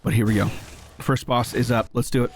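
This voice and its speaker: A man giving casual gameplay commentary in an excitable way, given to swearing when thrilled. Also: medium pitch, a little fast. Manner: excitable, profane exclamations. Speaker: gaming video host. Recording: game music behind voice. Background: video game music.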